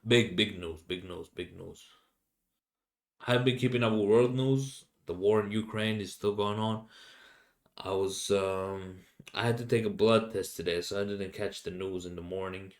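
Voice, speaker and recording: A young man from Finland with a Finnish accent, speaking English as a second language, rambling casually as a solo podcast host and often pausing to search for words. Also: lowish voice, steady tone. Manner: halting, many fillers and restarts, searching for English words.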